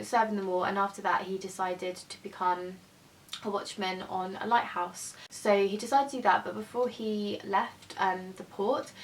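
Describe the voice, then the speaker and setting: A young woman in her twenties, chatting casually and a little hesitantly as she reviews books she has read. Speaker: book vlogger. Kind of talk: casual book review chat. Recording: voice from a distance over faint rumble.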